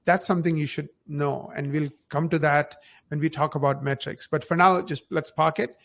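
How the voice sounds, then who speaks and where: A man's voice: fairly high pitch, steady tone, moderate pace, vocal fry, a bit bright. A man giving advice conversationally as a podcast guest, emphatic and fond of rhetorical questions and acted-out examples. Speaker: podcast guest, marketing company founder.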